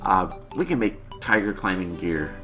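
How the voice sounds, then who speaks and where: A man with a lowish voice talks through a let's-play in a loose, rambling way. His nose sounds a little stuffed up from a cold. Game music plays clearly under his commentary. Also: normal speed. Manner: relaxed and rambling, with many "uh" fillers. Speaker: gaming channel host.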